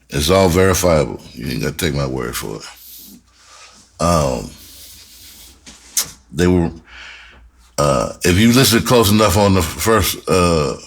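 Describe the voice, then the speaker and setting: A middle-aged American man telling stories casually and in slang, in a rambling way that often repeats phrases. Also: low voice. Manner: rambling, repeats phrases, chuckles while reminiscing. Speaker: music producer and storyteller. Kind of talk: casual storytelling monologue, slangy and profane.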